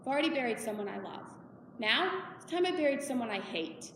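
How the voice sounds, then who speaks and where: A woman's voice: fairly high pitch, lively intonation, fairly quick, a bit bright. A woman playing a weary, embittered widowed mother in a dramatic monologue, firm and emphatic with her daughter. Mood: weary, resolute. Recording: echoey hall, far from mic, noisy.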